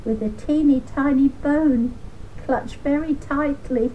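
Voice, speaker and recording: Slow, mellow older woman storyteller. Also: high voice, a little hiss or hum, low-quality recording. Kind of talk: children's storybook reading.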